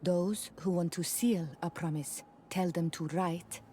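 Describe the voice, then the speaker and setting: Woman doing a defiant young clan daughter in a video game, kinda low voice, serious, bit of noise behind. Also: steady tone, unhurried, warm tone, lightly acted. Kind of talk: video game cutscene acting.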